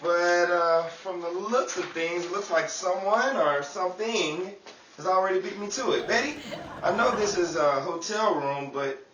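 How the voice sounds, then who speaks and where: Guy acting a bemused flustered 1930s radio showman, high tense voice, echoey room. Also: a little slow, a bit bright. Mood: genial. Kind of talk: amateur 1930s-style radio comedy acting. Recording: roomy, distant, faintly noisy.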